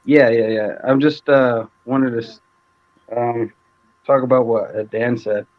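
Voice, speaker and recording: Man in his 20s, unsure and halting, lo-fi call audio. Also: mid-range voice, fairly even intonation. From Mexico. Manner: hesitant, many fillers. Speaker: panel participant. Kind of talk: live online panel discussion.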